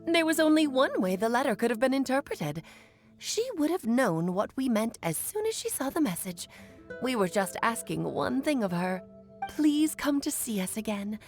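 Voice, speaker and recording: A woman voicing a playful, wise, centuries-old mage in a video game, telling her tales theatrically with emphatic pauses. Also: on the high side, sing-song, faint music behind. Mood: warm, reflective.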